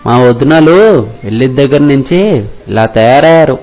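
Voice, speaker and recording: Stubborn young fisherman, medium pitch man acting. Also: moderate pace, darkish tone, soft background music, lightly acted. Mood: reassuring. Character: hardworking, stubborn but kind young fisherman. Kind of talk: animated moral story voice acting.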